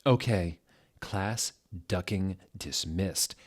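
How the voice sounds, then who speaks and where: A man's voice: low voice, extremely slow, full character voice. A man voicing a young, cool, foul-mouthed gothic female teacher in a comic story reading. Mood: breezy.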